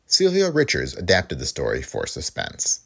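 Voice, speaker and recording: Male podcast narrator, low gravelly voice, scripted read with big swings in pitch. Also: huge pitch swings, normal speed, a bit bright. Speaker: podcast host.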